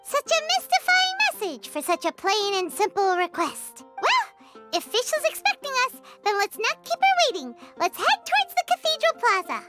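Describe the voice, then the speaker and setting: A woman voicing a tiny, excitable fairy girl with a squeaky childlike voice in an anime game. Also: normal speed, music in the background, exaggerated voice. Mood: curious, cheerful. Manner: speaks of self in third person.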